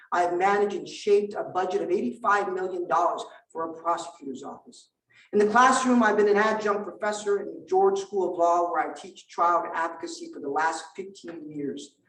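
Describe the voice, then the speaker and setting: Middle-aged man born in Vietnam, native Vietnamese speaker, high voice, emphatic campaign delivery, distant mic in a roomy hall. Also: a bit bright. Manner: emphatic, rhetorical lists with measured pauses. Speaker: political candidate at a forum. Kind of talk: campaign speech and forum answer.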